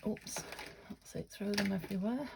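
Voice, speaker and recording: A woman from the UK chatting through a craft-along, thinking aloud and often dropping to a half-whisper, her voice a little croaky. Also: medium pitch, normal speed, very noisy. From United Kingdom. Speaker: craft vlogger. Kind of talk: casual craft-along chat.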